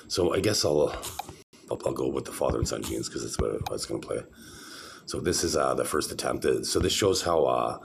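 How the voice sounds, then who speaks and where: A man's voice: rumbling low, gravelly, slight background noise. A middle-aged man rambling to the camera in a casual vlog, meandering with many fillers and restarts. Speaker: vlogger showing his own videos.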